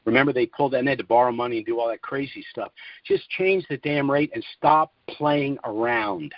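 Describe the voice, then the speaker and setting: A man's voice: mid-range voice, a little fast, no room sound. A man hosting a call-in conference, giving opinionated, emphatic and argumentative commentary in a conversational way over a phone line. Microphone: telephone conference line.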